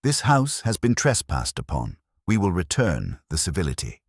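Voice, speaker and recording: A man voicing an imperious detective boss in an audiobook, with formal, measured, pompous diction. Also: low-pitched, wildly swooping pitch, breathy edge, no room sound, studio quality, heavily acted. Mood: stern, resolute.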